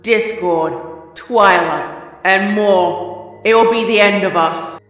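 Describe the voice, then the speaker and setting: A woman voicing a fanatical female sun-monster that lives in a pony princess's heart, speaking in a slow, looming, scornful way through an echoing reverb effect. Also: mid-range voice, fairly bright tone. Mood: scornful menace. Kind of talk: audio drama reading. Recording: echoey, long-reverb processed voice.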